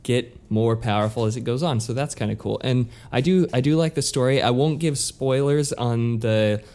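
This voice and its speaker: A man's voice: medium pitch, moderate pace, a little mellow. American guy on a podcast, rambly, lots of like and you know, just chatting. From United States. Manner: rambling, full of "like" and restarts. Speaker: podcast co-host. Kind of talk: casual chat.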